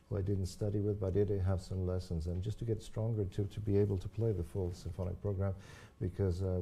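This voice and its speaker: A deep, gravelly older man whose first language is Croatian speaks in a dark, mellow tone. He talks thoughtfully in a live interview, restarting phrases and filling pauses with soft yeahs. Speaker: horn player and teacher.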